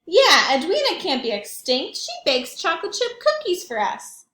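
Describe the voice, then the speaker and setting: An eager schoolchild from a picture book pipes up high, loud and insistent, as a woman storyteller puts it on. The voice rings in an echoey room. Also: huge pitch swings, normal speed, exaggerated voice. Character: eager young schoolchildren defending their dinosaur friend.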